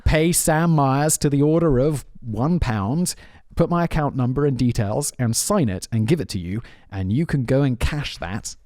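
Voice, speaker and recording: A man's voice: medium pitch, moderate pace, mellow, no room sound, clean studio sound. A man hosting a podcast, chatting casually and rambling, often saying "dude". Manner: rambling, spells things out, says dude often. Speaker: podcast host. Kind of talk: casual chat.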